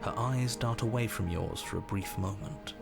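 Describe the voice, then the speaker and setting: An actor playing a grave, solemn storybook narrator in a dark fantasy game, with measured, literary, ominous delivery. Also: male voice, on the low side, vocal fry, over loud music. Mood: somber, watchful. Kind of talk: scripted second-person narration.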